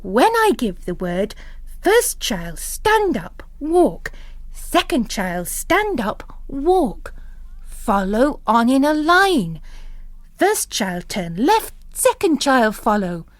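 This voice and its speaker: Woman acting an impetuous young nun, high and animated. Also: slow, no echo. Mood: commanding, urgent. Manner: urgent, commanding, outspoken. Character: impetuous, earnest young Irish nun, brisk and bossy.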